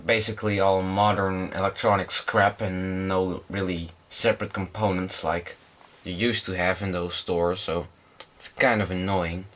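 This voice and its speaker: A young man presents in English with a fairly low voice. He has a slight cold and talks informally, feeling his way through explanations like a hobbyist showing off equipment. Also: a bit bright. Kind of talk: casual product demonstration.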